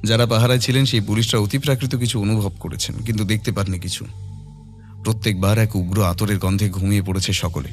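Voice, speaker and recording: Male narrator for scripted horror audio story, low register, dramatic delivery over music. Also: fairly even intonation, quick pace.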